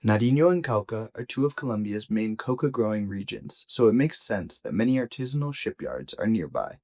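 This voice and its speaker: Husky man narrating an investigative news story. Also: on the low side, lively intonation, a little fast, darkish tone. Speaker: journalist reading own investigation. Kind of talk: scripted audio news narration.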